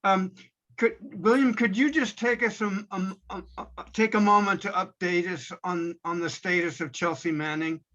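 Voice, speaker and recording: A man asking spontaneous questions over a dull video call, halting and restarting his words. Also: sits high, a bit bright. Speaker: audience member asking questions.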